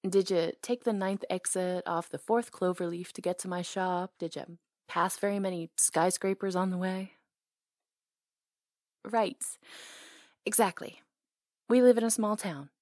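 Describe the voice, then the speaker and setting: A woman playing a flirty, tender salon owner with a crush, speaking softly and intimately in an ASMR romantic roleplay, teasing, pausing and sometimes near a whisper. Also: on the low side, fairly even intonation, no room sound, clean studio sound, close to an everyday voice. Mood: earnest, affectionate. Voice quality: soft, breathy, sometimes whispery. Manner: teasing, hesitant pauses, intimate.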